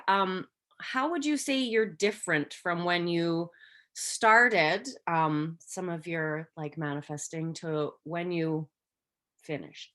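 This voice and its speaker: A woman hosting a casual interview, encouraging and quick to agree and laugh along. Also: on the low side, fairly bright tone. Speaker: host. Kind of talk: casual video-call interview.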